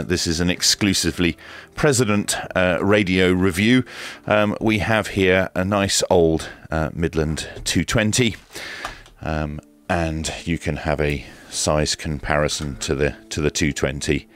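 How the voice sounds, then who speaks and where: A man's voice: low voice, a little slow, fairly bright tone, soft background music. A man presenting a hands-on product review in a casual, hesitant way with dry, understated humour. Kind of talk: casual hands-on unboxing commentary.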